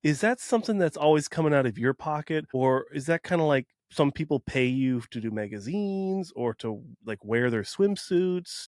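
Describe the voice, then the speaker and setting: A man's voice: on the high side, animated, normal speed, hardly any pauses, darkish tone, no echo, studio quality. A man from Wisconsin hosting a podcast in casual chat, joking and self-deprecating. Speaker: podcast host.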